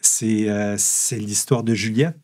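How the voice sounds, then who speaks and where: A man with a Québécois accent, a comic book author chatting in a relaxed, rambling way with lots of fillers. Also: fairly low pitch, slow. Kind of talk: casual interview talk.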